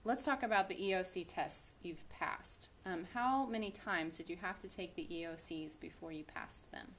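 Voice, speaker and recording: A woman's voice: fairly low pitch, moderate pace. A woman teacher interviewing students, summing up their answers encouragingly.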